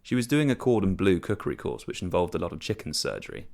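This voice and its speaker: A man narrates a short story in the first person, reading from a script. His voice is very low, with lively movement in pitch. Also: quick pace, vocal fry.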